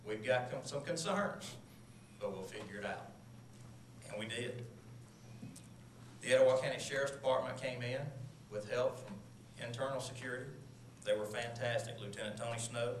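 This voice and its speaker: Guy thanking folks at a city council podium, roomy hall, some hiss underneath. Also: medium pitch. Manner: earnest, lists names and thanks. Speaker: public speaker at city council. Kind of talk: formal public address with thanks. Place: large council chamber, standing at podium. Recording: roomy hall sound with noise.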